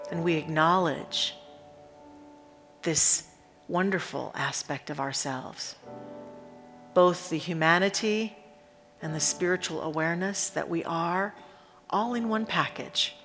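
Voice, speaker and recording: A woman leading a guided meditation in a reverent, unhurried manner, pausing deliberately between short phrases. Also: on the low side, fairly bright tone. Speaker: spiritual leader guiding a meditation. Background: instrumental music.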